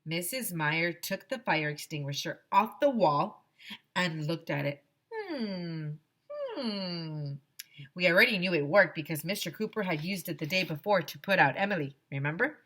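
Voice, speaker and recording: A woman teacher reading a children's chapter book aloud in an expressive, animated storytelling style. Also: fairly low pitch, brisk. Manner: expressive, dramatizes the dialogue.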